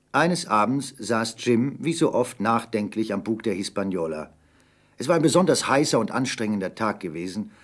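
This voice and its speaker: Male narrator for adventure audio drama, low-pitched, husky, dramatic delivery. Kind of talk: dramatic scripted story narration.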